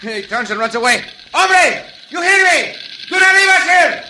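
An actor playing a bold, urgent cowboy hero, in old-time radio adventure style. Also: male voice, extremely high pitch, big pitch swings, moderate pace, sharp tone, roomy sound, slight background noise, exaggerated voice, rough recording. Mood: desperate shouting.

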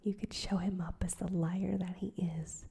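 A woman voicing a crabbed old male newspaper editor, gruff but kindly, in a breathy whisper. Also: on the low side, little pitch movement, moderate pace, mellow, exaggerated voice.

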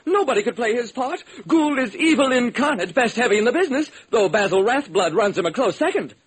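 A flamboyant movie director for a radio play. The voice is fussy and dramatic, sitting very high and swinging widely with theatrical exasperation. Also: male voice, lively intonation, brisk, husky, exaggerated voice. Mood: gushing. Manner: gushing, dramatic. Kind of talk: classic radio detective drama acting.

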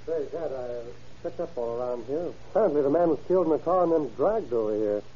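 An actor in an old-time radio crime drama playing an earnest, practical young deputy, a sheriff's son. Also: male voice, on the high side, animated, fast, warm tone, slightly rough. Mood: practical, dutiful. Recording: muffled vintage radio drama recording.

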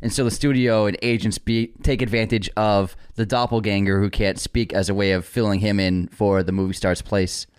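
A man's voice: lowish voice, flat delivery, moderate pace, hardly any pauses, smooth. A man co-hosting a movie news podcast, talking casually in long rambling turns. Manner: rambling, full of restarts and references. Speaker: podcast co-host.

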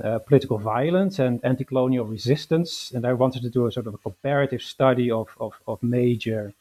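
Hesitant Dutch-born historian, mellow voice. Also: male voice, medium pitch. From Netherlands. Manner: hesitant, many fillers and restarts. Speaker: historian guest. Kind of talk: academic interview.

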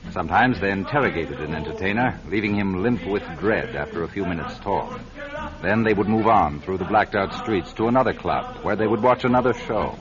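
An earnest, driven newsman who tells his story in a low voice that rises and falls with urgency. The sound is a muffled old radio broadcast, with music running underneath. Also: lively intonation, fairly quick. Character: driven male network journalist narrating his find. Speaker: narrator. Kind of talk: 1970s radio drama narration.

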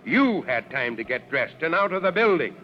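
A stern, commanding fire chief gives firm orders with clear authority. His voice sits a little high. The old radio recording sounds dull and has a faint hiss behind it. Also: very animated, moderate pace. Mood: stern questioning. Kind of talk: 1940s radio acting.

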